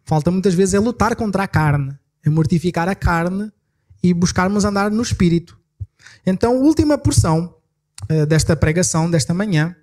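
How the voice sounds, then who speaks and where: A male pastor with a European Portuguese accent delivers a sermon in a fairly high voice. He reads the verses slowly with rising emphasis, then speeds up for quick explanations and small corrections. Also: darkish tone. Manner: self-correcting, apologetic asides. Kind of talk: sermon with scripture reading.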